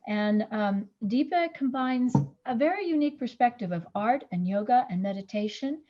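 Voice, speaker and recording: A woman's voice: on the high side, expressive, normal speed, muffled recording. A woman hosting an art panel, encouraging the speakers and affirming them often. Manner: encouraging, many soft affirmations. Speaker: panel host. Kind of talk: moderating a panel.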